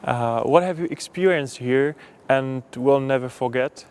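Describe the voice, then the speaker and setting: A man's voice: mid-range voice, measured pace, a little mellow, smooth. A male interviewer asking brief, formal questions. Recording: music playing under the voice.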